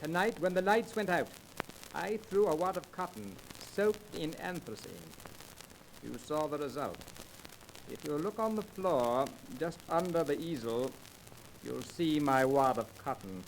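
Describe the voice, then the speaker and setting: A courteous fraud-busting professor in 1930s radio-drama style. His voice is high for a man, precise and explanatory, on a slightly noisy old broadcast disc. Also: a little slow, creaky. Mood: assured.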